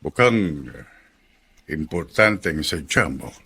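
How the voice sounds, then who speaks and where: A man playing a doting, dignified middle-aged congressman father in a Filipino radio drama, measured and theatrical. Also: rumbling low, lively intonation, sharp tone, breathy edge, heavily acted. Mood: fatherly, pleased. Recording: music under the voice.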